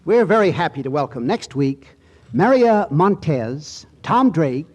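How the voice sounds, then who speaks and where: A man's voice: a little high, very animated, a little slow, a little mellow. A man with an American accent hosting a 1940s radio show, on a noisy old recording.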